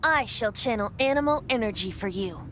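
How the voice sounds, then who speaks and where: A woman's voice: fairly high pitch, expressive, brisk, a bit bright, a little hiss or hum. A woman voicing a breezy, whimsical young male bard in a video game, with a lilting, wistful storyteller delivery. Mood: wistful, earnest.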